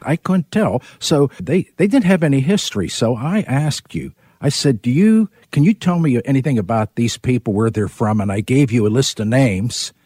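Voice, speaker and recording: A man's voice: medium pitch, animated, normal speed, hardly any pauses, mellow, breathy edge, no room sound, studio quality. A man hosting a casual interview, chatty and chuckling as he introduces and questions his guest. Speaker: host.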